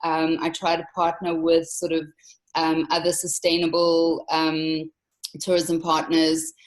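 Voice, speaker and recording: A woman in her thirties from South Africa with a South African accent, a travel company owner answering interview questions in a relaxed way, with many ums. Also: low-pitched, poor audio. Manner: soft-spoken, many ums, unhurried. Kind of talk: casual interview.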